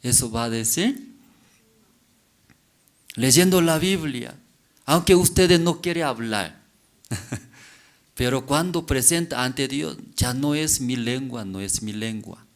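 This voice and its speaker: Slow-preaching man quizzing the congregation. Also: mid-range voice, lively intonation. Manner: peppers preaching with rhetorical questions and "mm?" checks. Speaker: pastor. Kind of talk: sermon.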